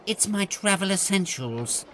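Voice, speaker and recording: A man's voice: high-pitched, animated, unhurried, a bit bright. A man playing a haughty, snobbish lord who barks orders in a comic audio drama.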